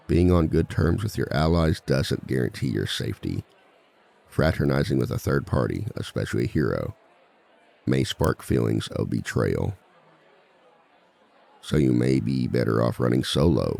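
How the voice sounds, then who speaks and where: A man playing a suave villain who lectures like a seminar speaker, measured and wry, in a scripted audio roleplay. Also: darkish tone, heavily acted. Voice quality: very deep, gravelly. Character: suave male villain giving a dating seminar to fellow villains. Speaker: seminar speaker and relationship expert.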